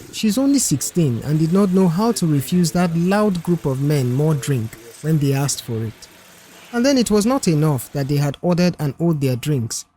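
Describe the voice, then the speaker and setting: A man's voice: on the high side, mellow. A man voicing a weary, chatty middle-aged male mechanic and proud family man in an audiobook, talking earnestly. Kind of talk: audiobook character dialogue.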